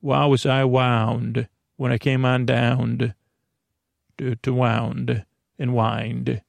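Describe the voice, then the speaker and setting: A man delivers a meandering bedtime monologue as a podcast host. His voice is full of creak and sits at an ordinary male pitch. He halts, repeats himself and wanders back to where he left off, all in a very dry recording with no room sound. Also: flat delivery, dry recording. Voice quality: gravelly, creaky voice. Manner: halting, repeats words, loses the thread and circles back.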